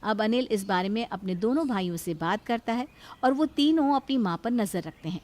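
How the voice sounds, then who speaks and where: A woman's voice: medium pitch, animated, fairly quick, a little mellow. A woman reading scripted narration for a cartoon moral story as its storyteller.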